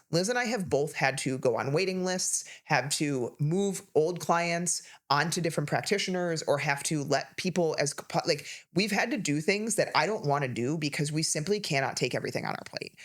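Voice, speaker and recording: A woman in her thirties co-hosting a health podcast, talking casually and listing symptoms rapidly with emphasis. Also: low voice, a bit bright. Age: 30s. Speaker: podcast co-host, health coach.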